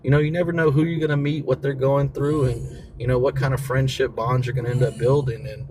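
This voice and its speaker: A man's voice: medium pitch, fairly even intonation, a little fast, darkish tone, a little hiss or hum, rough recording. An American man from the South hosts a casual motivational livestream, talking in a slangy, encouraging way and repeating key phrases for emphasis. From southern United States (Mississippi and Florida). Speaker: talk show host. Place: in a vehicle during a commute.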